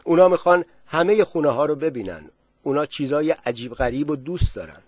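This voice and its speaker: A man's voice: a little high, darkish tone, no room sound, natural voice. A man doing a sly, cheerful guide. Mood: coaxing.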